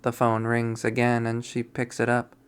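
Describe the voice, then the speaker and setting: A man narrating a visual novel readthrough in a deliberate, storytelling manner. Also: mid-range voice, monotone, smooth. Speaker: game streamer reading a visual novel aloud.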